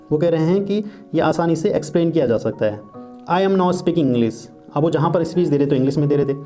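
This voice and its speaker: A man teaching, reading English lines slowly and explaining each point in Hindi, often asking and answering his own questions. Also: on the high side, mellow. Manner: reads English quotes slowly and deliberately.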